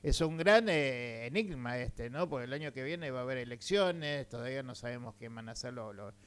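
A man's voice: medium pitch, expressive, normal speed, fairly bright tone, smooth. An Argentine man hosting a radio interview, asking long rambling questions full of fillers and tag questions. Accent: Argentine Spanish. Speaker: radio host.

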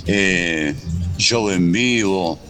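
A man's voice: low voice, very slow, a bit bright. An Argentine man calling in to a radio show, chatting and rambling with fillers. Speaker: caller. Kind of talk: casual phone-in chat.

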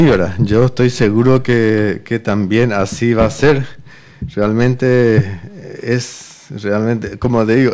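A man's voice: on the low side, a little mellow. A man hosting a casual interview in an easygoing, agreeable, conversational way. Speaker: radio or show host.

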